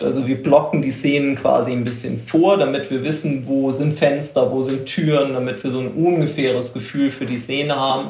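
A man's voice: medium pitch, a little mellow, echoey room. A man explaining production work informally in an interview, hesitant and correcting himself as he goes. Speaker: visual production expert.

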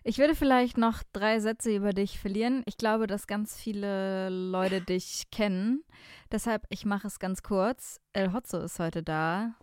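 A young woman co-hosting a podcast, introducing a guest and chatting in a relaxed, slangy way, thinking out loud. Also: mid-range voice, measured pace, smooth, dry recording, studio quality. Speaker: podcast co-host. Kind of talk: casual chat, introducing guest.

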